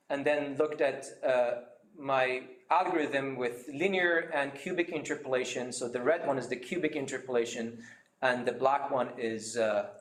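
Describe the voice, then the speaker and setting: A man giving a seminar talk, explaining his method step by step with many pauses and fillers. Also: medium pitch, roomy sound. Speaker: seminar speaker.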